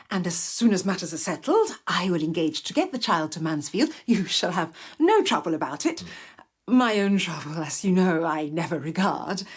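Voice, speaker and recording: Casting: self-righteous genteel English busybody aunt, middle-aged, lowish and husky, officious, period radio drama. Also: female voice. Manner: officious, persuasive, wordy.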